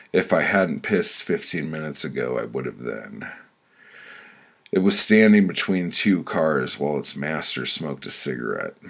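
A man reading his own writing as audiobook narration, in short phrases with deliberate pauses. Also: a bit bright. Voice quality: very deep, gravelly, husky. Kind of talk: scripted audiobook narration of poetic memoir.

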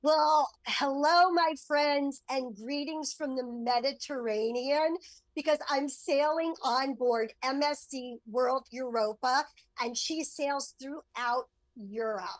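A woman's voice: high voice, takes it slowly, no echo, low-quality recording. A middle-aged woman speaking enthusiastically as a travel expert, giving an upbeat promotional segment. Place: on board a cruise ship, remote link.

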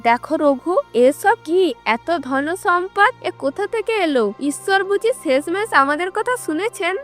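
A woman voicing an excited village wife. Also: very high-pitched, a little slow, soft background music. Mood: wondering. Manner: excited, breathless exclaiming.

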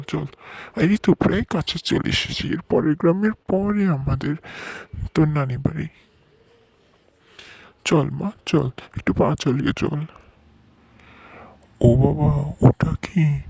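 A man voices a doting cartoon uncle who reassures the young ones. His voice sits a bit high for a man and is recorded dry and close. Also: a little mellow, no echo. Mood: reassuring, then frightened. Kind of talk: amateur cartoon dubbing.

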